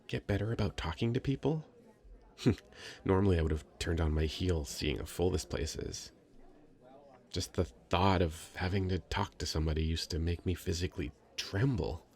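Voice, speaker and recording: A man playing a very shy, sweet young nerdy writer in an ASMR roleplay, hesitant, stammering and soft-spoken. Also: sits low, moderate pace, pauses often. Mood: earnest, grateful. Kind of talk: ASMR roleplay, addressing the listener.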